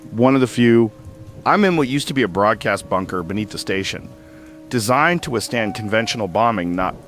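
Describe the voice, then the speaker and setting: Man acting a weary, halting apocalypse survivor. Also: mid-range voice. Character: weary male radio host, lone apocalypse survivor, resigned, bleak. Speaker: former show host recording a survivor's log. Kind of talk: audio drama monologue.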